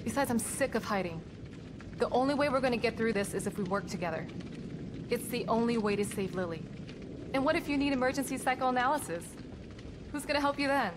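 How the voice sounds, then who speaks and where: An actress playing a composed, caring and brave team psychologist in a video game, explaining things in a reassuring manner. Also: female voice, a little high, animated, a little fast, background noise, lightly acted. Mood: steady, determined. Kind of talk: dramatic video game acting. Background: music.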